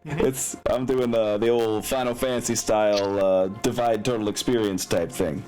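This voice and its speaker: A man's voice: lowish voice, fairly even intonation, normal speed, a little mellow. A man speaking casually as game master of a tabletop game, drawing out phrases for emphasis. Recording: music behind the voice. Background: background music.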